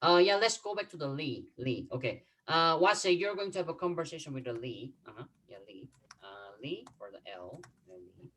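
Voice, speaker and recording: A man's voice: fairly high pitch, expressive, normal speed, few pauses, a bit bright. A man in his thirties teaching an online Korean class, explaining patiently with examples and many fillers. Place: home room, video call.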